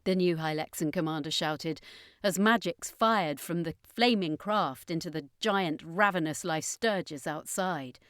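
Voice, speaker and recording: Low-voiced woman narrating an adventure story. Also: a little slow, no room sound, clean studio sound.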